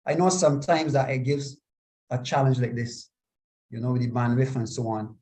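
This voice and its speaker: A man's voice: mid-range voice, steady tone, moderate pace, a little mellow. A man moderating a live online panel on a video call, courteous and a little apologetic as he reads out viewer comments.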